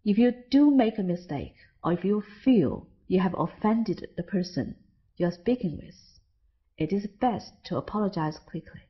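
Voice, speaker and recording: A woman's voice: medium pitch, animated, normal speed, slightly rough, dull audio. A Chinese woman with a Chinese accent, an English teacher, narrating a scripted lesson and carefully stressing example words. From China.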